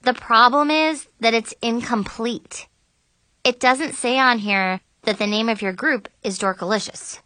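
A woman's voice: fairly high pitch, measured pace, sharp tone, dry recording. A woman voicing a bossy, officious teenage girl in charge, firm and curt, in an audiobook.

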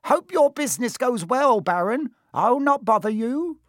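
A man voicing a wicked, mischievous poltergeist in a storybook, with singsong, fawning, drawn-out phrasing. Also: very high-pitched, lively intonation, no room sound, clean studio sound, pitched above the performer's own voice. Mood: greasy fawning.

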